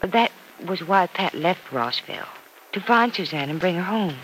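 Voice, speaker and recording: An actress playing an excitable, warm-hearted sister in an old-time radio soap opera. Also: female voice, on the low side, normal speed. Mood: concerned. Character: excitable, warm-hearted sister fretting over her missing brother. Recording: noisy vintage radio recording.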